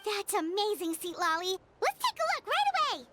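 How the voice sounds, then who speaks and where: Tiny, very high-pitched fairy sidekick. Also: female voice, moderate pace, over loud music. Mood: amazed, excited. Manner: excitable, squeaky. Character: tiny cheerful fairy companion, childlike, eager, speaks in third person. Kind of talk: video game voice acting.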